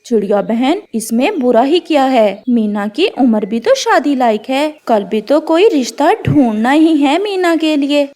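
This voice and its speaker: A woman's voice: sits high, expressive, unhurried, hardly any pauses, mellow. A woman voicing a sensible adult female pigeon in a cartoon, reassuring and matter-of-fact. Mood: advising. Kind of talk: Hindi cartoon character dialogue.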